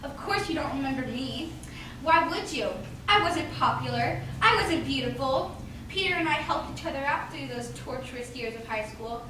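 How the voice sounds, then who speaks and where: A woman's voice: high voice, lively intonation, normal speed. A woman playing a bitter, sarcastic former school outcast in a radio drama, with a pointed manner. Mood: bitter, sardonic. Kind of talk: stage radio drama acting. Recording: very echoey hall, distant mic, faint noise.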